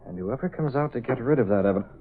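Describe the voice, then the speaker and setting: A man's voice: medium pitch, animated, very fast, close to an everyday voice. An actor playing a confident masked vigilante confiding in his aide in a 1930s radio drama. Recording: muffled, hissy old-time radio recording.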